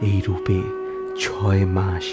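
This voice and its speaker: Slow, low-voiced man telling a story. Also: completely flat delivery. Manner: measured, solemn delivery with deliberate pauses between phrases. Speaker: audio story narrator. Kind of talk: scripted dramatic literary storytelling. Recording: music under the voice. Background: musical instrument music.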